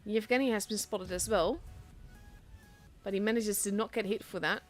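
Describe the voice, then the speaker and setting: A young Dutch woman, a native Dutch speaker, casting a tank game solo in a chatty, self-deprecating way that grows excited at big plays. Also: mid-range voice, moderate pace, soft background music. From Netherlands. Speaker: esports caster.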